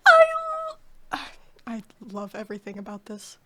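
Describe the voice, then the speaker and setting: A woman giving expressive, dramatic live commentary as she reacts to a game's story. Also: mid-range voice, normal speed, heavy background noise. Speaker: game streamer reacting to a story quest. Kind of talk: excitable live gameplay commentary.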